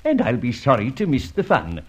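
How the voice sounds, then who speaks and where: An actor playing a suave, mocking gentleman adventurer in a vintage radio drama, urbane and ironically polite. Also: medium pitch, wildly swooping pitch, normal speed. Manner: urbane, ironically polite, hesitating with drawled uhs.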